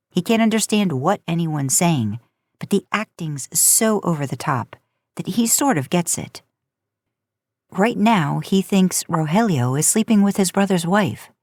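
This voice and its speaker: A woman narrating a story aloud in a casual, expressive way. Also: lowish voice, dry recording. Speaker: podcast host narrating fan fiction.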